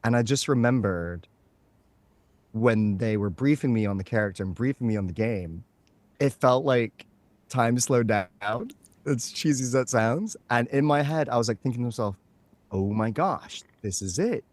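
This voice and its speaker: A man's voice: medium pitch, lively intonation, moderate pace, darkish tone, no echo. A man chatting casually as an interview guest, playful and a touch theatrical in how he puts things.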